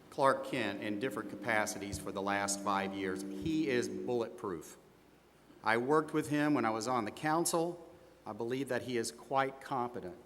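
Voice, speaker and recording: A man's voice: medium pitch, natural voice. A man playing an older small-firm accountant, confident and a little defensive, answering questions in a scripted role-play. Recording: echoey hall, steady background room noise.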